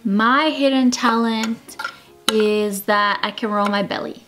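A woman's voice: fairly high pitch, steady tone, noisy background. Frank, joking Australian woman in her twenties. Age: 20s. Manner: frank, laughing, quick and joking. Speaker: beauty vlogger answering viewer questions. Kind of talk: casual chat. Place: small indoor room in front of a plain wall.

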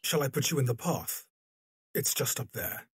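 A man playing an awkward, polite young gentleman in an audio drama, speaking hesitantly. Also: mid-range voice, lively intonation, normal speed, slight background noise, lightly acted.

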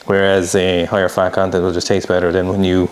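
A man's voice: low voice, completely flat delivery, brisk, a little hiss or hum. An Irish man in his thirties explaining how to make dumplings in a home kitchen, laid-back and dry, recorded by a camera a few feet away. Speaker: cook demonstrating a recipe. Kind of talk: casual cooking demo chat.

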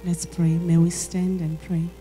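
A woman's voice: lowish voice, level tone, a little slow, mellow, soft background music. A middle-aged woman preaching a sermon into a handheld mic on a church hall stage, earnest and repeating words as she searches for phrasing.

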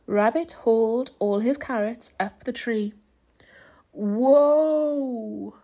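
Slow-paced woman reading a storybook. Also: on the high side, dark tone. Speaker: storybook reader. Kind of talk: expressive children's read-aloud narration.

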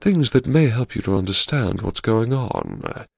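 An actor playing a genteel, troubled gentleman diarist, narrating an audiobook in a mannered, hesitant, politely formal and wryly confessional way. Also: lowish voice, huge pitch swings, a little slow, gravelly, breathy edge, no room sound, studio quality, exaggerated voice. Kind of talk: first-person dramatic audiobook narration.

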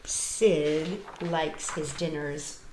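A woman's voice: deep voice, drawn-out words, slight background noise. A middle-aged woman, a children's entertainer, talking directly and expressively to young children in a live storytime, recorded on a webcam in a living room. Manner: animated, exclaiming, addressing children directly. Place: small living room with couch, indoors. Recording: roomy webcam sound.

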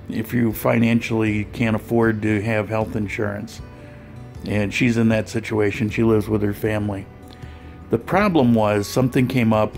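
A man with a low, gravelly voice tells his vlog story in a loose, chatty way, often pausing on "uh" and rephrasing mid-sentence. Faint guitar music plays softly behind him. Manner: relaxed, conversational, with frequent fillers and restarts. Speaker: vlogger. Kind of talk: voice-over narration of a clinic visit.

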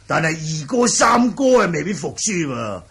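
A man acting a jovial, hard-drinking martial-arts lord in a Hong Kong Cantonese radio drama, gravelly and raspy, with a hearty, exclamatory manner. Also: fairly high pitch, very animated, moderate pace, fairly bright tone, exaggerated voice. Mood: admiring, jovial. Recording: 1980 radio drama recording.

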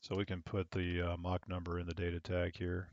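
A young man at a home desk on a headset, calmly giving controller radio calls and explaining his plans in a low-key way. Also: a little fast, highs cut off. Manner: calm, low-key, thinking aloud with fillers. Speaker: online air traffic controller streamer.

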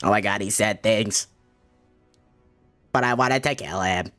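A man voicing an eager, trigger-happy cartoon combat robot in a blunt, excitable amateur comic dub. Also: on the low side, unhurried, faint music behind. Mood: gleefully aggressive.

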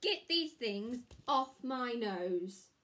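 A woman voicing an earnest, hapless poor woodcutter in a storybook, with expressive, plaintive delivery. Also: extremely high pitch, very slow, full character voice. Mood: angry.

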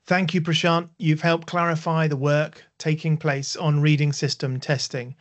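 A man's voice: fairly high pitch, little pitch movement, moderate pace, muffled recording. A man hosting a webinar, formally thanking and introducing speakers from a home office. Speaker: webinar host. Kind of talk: scripted webinar links.

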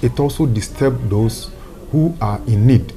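A middle-aged man with a Ghanaian accent, giving a measured, official interview statement as a fire service spokesman in an office. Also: medium pitch, background noise. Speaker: fire service public relations director, interviewee. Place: office room indoors.

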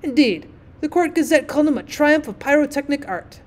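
A woman's voice: high-pitched, animated, brisk, pitched above the performer's own voice. A woman voicing a vain, self-obsessed male firework rocket in a storybook reading, with haughty, indignant, self-important delivery. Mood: boastful.

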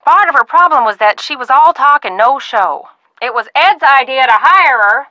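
A woman acting a kindly, fretful middle-aged ranch-owner aunt in a video game, talking in a folksy, motherly, expressive way. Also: high-pitched, normal speed, slight background noise. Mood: matter-of-fact, gossipy.

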